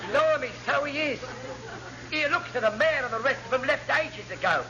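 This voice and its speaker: An excitable navy rating with an extremely high, blustering voice. He is colloquial and easily baffled, in an old radio sitcom that sounds muffled and noisy. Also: normal speed. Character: excitable, baffled working-class naval rating, bawling orders. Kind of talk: classic radio sitcom acting.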